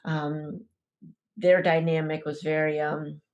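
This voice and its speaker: Low-voiced woman telling her story haltingly. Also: flat delivery, a little mellow. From Ecuador. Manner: hesitant, many fillers and restarts. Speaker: podcast guest. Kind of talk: personal storytelling.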